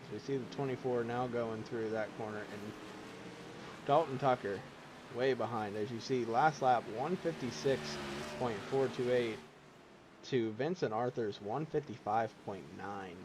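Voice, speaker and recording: A man calling a sim race, casual and rambling, with asides. He is losing his voice and clears his throat now and then. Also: mid-range voice, a little slow. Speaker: race commentator. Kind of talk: sim racing play-by-play commentary. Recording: loud engine noise behind. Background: race car engine sounds.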